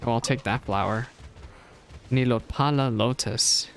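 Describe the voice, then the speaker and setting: A man's voice: mid-range voice, measured pace, gravelly. A man chattily musing aloud as a streamer reacting to a game, in casual commentary.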